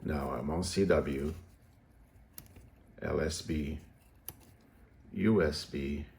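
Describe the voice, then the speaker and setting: A man giving a casual, unscripted show-and-tell as a hobbyist, hesitant and halting as he thinks aloud. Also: rumbling low, creaky, darkish tone. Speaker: radio hobbyist presenting a project.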